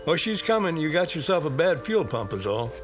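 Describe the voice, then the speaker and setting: A man playing a laid-back small-town garage mechanic in a radio drama, folksy and unhurried. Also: on the high side, sing-song, music in the background. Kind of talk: radio drama character dialogue.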